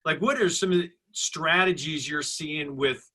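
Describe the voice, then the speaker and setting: Bright-voiced male interviewer. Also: on the high side, measured pace. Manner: loose, rambling questions. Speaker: interviewer, host. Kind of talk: casual interview questions.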